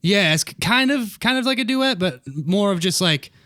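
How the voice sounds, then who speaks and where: A young man with a fairly high voice talks loosely, swearing as he rambles about his music. His voice is smooth and resonant. The sound is close and dry. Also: lively intonation, moderate pace, bright, forceful tone, dry recording. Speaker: musician guest on podcast. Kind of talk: casual chat.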